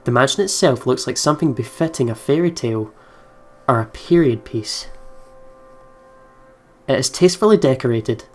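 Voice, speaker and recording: Medium-pitched man doing a let's play. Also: moderate pace, pauses often, a little hiss or hum. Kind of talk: reading game narration aloud with casual commentary. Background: game music.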